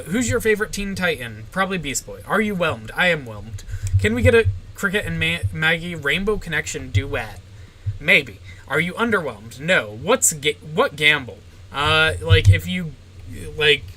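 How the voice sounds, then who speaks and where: A young woman with a general American accent, streaming live and reading viewer questions aloud. She gives short, deadpan answers with plenty of "uh" and "like". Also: low voice, slight background noise, low-quality recording. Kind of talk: casual livestream Q&A, answering chat.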